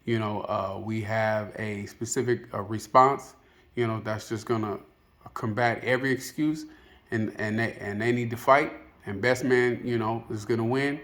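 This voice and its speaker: A man's voice: fairly low pitch, fairly even intonation, moderate pace, fairly bright tone. A man giving casual, unscripted opinion commentary as a video host, rambling and hesitant, often padding with filler phrases. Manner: hesitant, rambling, peppered with "you know".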